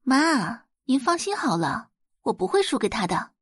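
A woman's voice: fairly high pitch, very animated, moderate pace, husky, no echo, clean studio sound. A woman voicing a confident, disdainful eighteen-year-old daughter in an audio drama, composed and sure of herself. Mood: smug.

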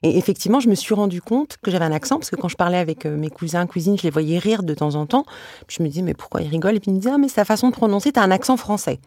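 Femme, invitée de podcast, raconte ses souvenirs, parle vite. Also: female voice, lowish voice, animated, a little mellow, no echo, clean studio sound. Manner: brisk, storytelling, frank. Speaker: guest author. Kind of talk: casual podcast conversation.

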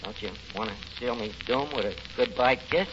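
An actor playing a self-pitying, grandiose tavern manager with a New York accent in 1940s radio comedy style. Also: male voice, mid-range voice, normal speed, close to an everyday voice. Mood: hammy pleading. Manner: melodramatic, malaprop-prone, pompous. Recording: noisy, dull 1940s radio recording.

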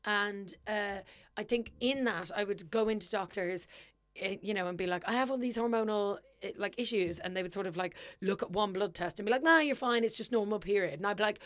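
A young woman co-hosting a podcast, chatting casually and telling stories, swearing freely, her voice sometimes a little gravelly. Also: medium pitch, a little fast. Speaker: podcast co-host. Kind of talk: casual chat.

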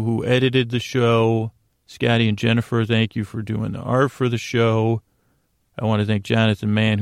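Man, podcast host, low pitch, creaky, self-deprecating, rambling sleep monologue, halting delivery. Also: no echo, clean studio sound.

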